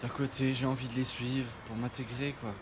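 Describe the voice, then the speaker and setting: A man's voice: mid-range voice, flat delivery, brisk, background noise, lightly acted. A man voicing a shy, lonely teenage boy who has just moved to a new town; he talks hesitantly. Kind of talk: French audio drama.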